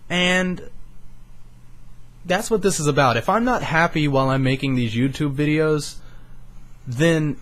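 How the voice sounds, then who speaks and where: A man's voice: on the high side, a little slow, pauses often, a bit bright. Young man talking candidly to his mic. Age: young adult. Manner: earnest, rambling, swears casually. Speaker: YouTuber. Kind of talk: candid personal vlog. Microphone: studio microphone.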